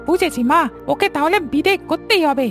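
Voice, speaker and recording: A woman's voice: high voice, lively intonation, moderate pace. A woman voicing a bratty, spiteful, greedy young village boy in a fairy-tale cartoon, whiny and brash. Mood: spiteful, eager. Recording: music under the voice.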